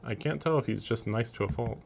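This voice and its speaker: A man with a medium-pitched voice reads visual novel text aloud on a livestream. He slips between narration and casual, amused asides. A faint noise sits in the background. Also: a little fast, creaky.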